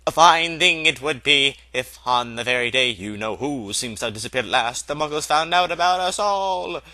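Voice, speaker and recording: Male reader as older female witch professor, severe, clipped, scolding, sharp-edged tone, storybook reading. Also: mid-range voice, animated, normal speed, no echo. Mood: anxious, stern.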